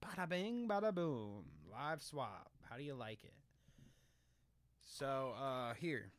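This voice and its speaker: Chill podcast dude, bouncy animated voice, cusses a lot, laughs easily. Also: male voice, medium pitch, takes it slowly, a little hiss or hum. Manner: laughing, swearing, easygoing. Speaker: podcast co-host. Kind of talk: casual podcast chat.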